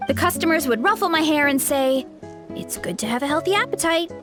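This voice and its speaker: A woman's voice: sits high, lively intonation, fairly quick, music in the background. A woman voicing a cheerful, chatty young fruit grower with a big appetite in a video game. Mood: cheerful, friendly. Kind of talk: video game character voice acting.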